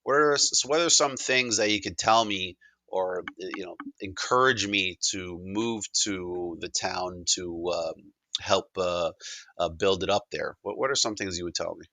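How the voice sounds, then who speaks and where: A man asking casual questions in a halting, informal way, as if thinking aloud. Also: low-pitched, pushed and bright, no echo. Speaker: caller asking questions in a product demonstration.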